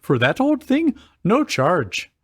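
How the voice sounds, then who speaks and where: A man's voice: high voice, wildly swooping pitch, slow pace, fairly bright tone, breathy edge, dry recording, clean studio sound, pitched above the performer's own voice, heavily acted. A man voicing an easygoing, obliging male shopkeeper in a plain-spoken way for a fan fiction reading. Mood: obliging, offhand.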